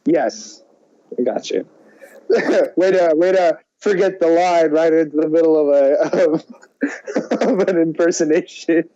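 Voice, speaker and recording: A man co-hosting a movie podcast in an animated, exclamatory, casual way, on a slightly dull recording. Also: on the high side, unhurried, pauses often. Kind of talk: casual chat about films.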